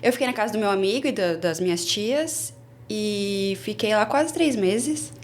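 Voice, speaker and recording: A Brazilian woman in her twenties, a former au pair, telling her story in a casual interview with lively restarts. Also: mid-range voice, moderate pace, a little hiss or hum. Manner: chatty, animated, many restarts.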